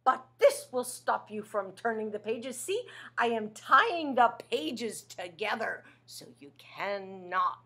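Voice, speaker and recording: A woman's voice: fairly high pitch, very animated, takes it slowly, bright, forceful tone, breathy edge, heavily acted. A woman voicing a fearful little male monster in a storybook read-aloud, pleading, panicky and wailing.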